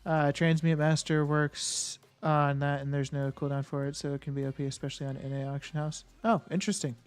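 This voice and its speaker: A man's voice: a little high, steady tone, a little fast, clear, resonant tone. A man live-streaming a game, chatting casually with viewers. He thinks aloud and answers chat with lots of fillers and restarts.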